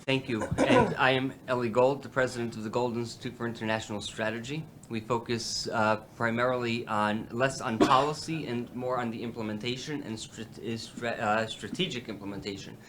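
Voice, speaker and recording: A man's voice: mid-range voice, steady tone, brisk, hardly any pauses, a bit bright, a little hiss or hum. A man, president of a policy institute, hosting a roundtable and speaking with many fillers and restarts. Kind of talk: halting roundtable remarks.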